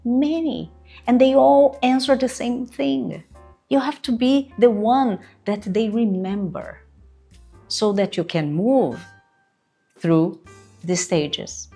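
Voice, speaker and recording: A woman's voice: on the high side, slow pace, vocal fry, mellow, husky, faint music behind. A woman with a Brazilian Portuguese accent, whose native language is Portuguese, coaching job-seekers in an earnest, emphatic way and often checking in with "right?". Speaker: interview coach. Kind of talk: instructional video talk.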